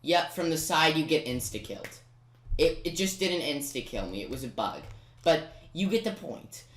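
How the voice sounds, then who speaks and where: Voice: mid-range voice, expressive, moderate pace, fairly bright tone, reverberant. A gaming commentator giving casual step-by-step gameplay guidance, informal and talking directly to the viewer. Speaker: video game commentator.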